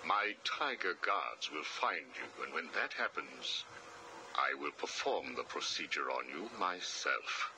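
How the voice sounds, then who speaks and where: An actor playing a cold, arrogant older psychiatrist villain in a video game, speaking in a measured, clinical, condescending way. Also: male voice, sing-song, background noise, full character voice. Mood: stern, threatening. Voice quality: very deep.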